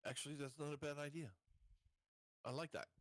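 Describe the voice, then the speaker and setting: A man's voice: on the high side, fairly even intonation, brisk, a bit bright, dry recording. A man chatting casually as a player in a tabletop roleplaying game. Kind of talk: casual chat.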